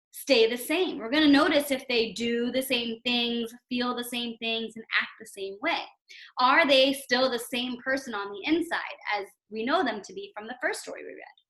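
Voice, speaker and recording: A woman's voice: fairly high pitch, a bit bright. A woman teacher giving a read-aloud lesson for young children, instructive and emphatic, often checking in with a questioning tag. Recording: roomy, echoing sound.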